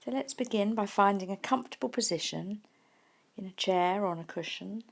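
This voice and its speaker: A woman guides a mindfulness meditation as a teacher. Her mid-range voice has a noticeable creak to it. She speaks gently and without hurry, leaving soft pauses between phrases. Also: mid-range voice.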